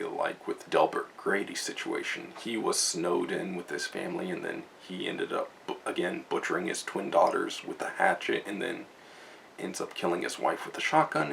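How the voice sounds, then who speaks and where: A young man with a low, heavily gravelly voice gives a loose, rambling review, full of "like" and "just" and restarted words. He sits some way back from the mic, with a faint hiss underneath. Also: fairly bright tone. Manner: rambling, casual, lots of fillers and restarts; chuckles often while talking. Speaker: book reviewer. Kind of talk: casual spoken book review. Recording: distant mic, faint background noise.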